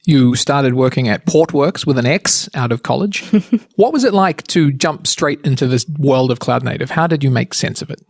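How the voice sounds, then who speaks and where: A man's voice: medium pitch, animated, moderate pace, creaky, no room sound, studio quality. A man hosting a podcast, asking questions in an easygoing, wry manner. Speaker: podcast host. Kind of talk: interview questions.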